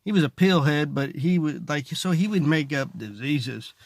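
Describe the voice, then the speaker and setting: A man talks like a stand-up comic in a loose, sarcastic monologue. His voice sits a bit high for a man and crackles with heavy fry. The sound is close and dry, as inside a car. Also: normal speed, no echo. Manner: rambling, sarcastic. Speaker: stand-up comedian, vlogger. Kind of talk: casual comedic monologue to camera.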